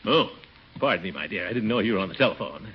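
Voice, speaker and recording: A man's voice: fairly low pitch, lively intonation, fast, breathy edge. A man playing a blustering, fond, flirtatious middle-aged uncle in a 1940s radio comedy, with a theatrical, pompous delivery. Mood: effusive, eager. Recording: dull vintage 1940s radio recording.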